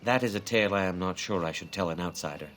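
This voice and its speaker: An actor playing a grieving elven hunter in a fantasy game, speaking in a formal, measured, earnest way. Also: male voice, sits low, fairly bright tone, slight background noise. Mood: guarded.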